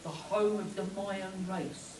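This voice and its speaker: A hearty, loyal adventurer and navigator, a man played by a woman in a live spoof radio play. The mid-pitched voice is picked up from far off in a very echoey hall. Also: fairly even intonation, takes it slowly, darkish tone, a little hiss or hum, natural voice. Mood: assured. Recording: echoey hall, distant microphone.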